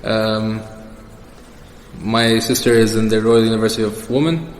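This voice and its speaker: Low, even-toned young man in an echoey room. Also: normal speed. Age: young adult. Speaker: interview guest. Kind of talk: casual personal interview. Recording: echoey reverberant room with background noise.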